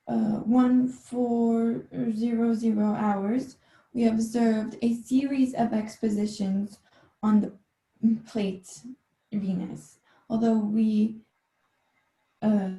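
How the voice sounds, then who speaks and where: A woman's voice: fairly high pitch, gravelly, mellow. A young woman playing a bumbling army general who stumbles over her words in a comedic radio play. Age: young adult. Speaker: student actor. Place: bedroom at home. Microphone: laptop on a video call. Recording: roomy, echoey bedroom sound.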